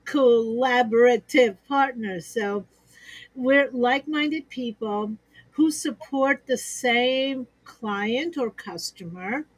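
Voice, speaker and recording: A woman with a fairly high voice talks through business partnerships in an upbeat, conversational way. She laughs easily and builds her points from examples. The sound is dry and close, as on a video call. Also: takes it slowly, dry recording, poor audio. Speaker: expert guest on a business livestream.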